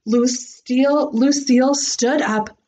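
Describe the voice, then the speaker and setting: A woman in her thirties, a teacher reading a storybook aloud with theatrical, deliberate expression, recorded in a small room at home. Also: sits high, fairly even intonation, mellow, a little hiss or hum.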